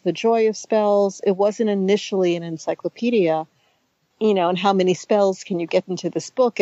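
Low-pitched woman in a chatty interview. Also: no room sound, highs cut off. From United States. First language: Hungarian. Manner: chatty, many restarts and asides, laughs softly. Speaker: author and guest. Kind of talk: relaxed conversational interview.

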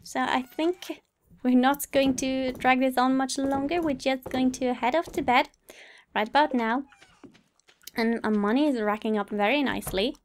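A woman's voice: sits high, moderate pace, a little hiss or hum. A woman giving casual, polite gameplay commentary as a let's play host, chatting to herself and the game. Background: game music.

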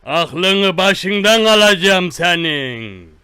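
A man playing a menacing, boastful rustic tough guy who threatens a caller with slow, repetitive, drawn-out threats on a one-sided prank call. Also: sits high, lively intonation, pushed and bright, smooth, no room sound, heavily acted.

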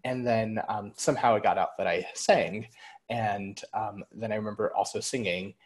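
A young man wearing a headset on a video call, telling a story in a relaxed way. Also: lowish voice, normal speed. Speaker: panelist. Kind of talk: casual panel talk. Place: home room, indoors.

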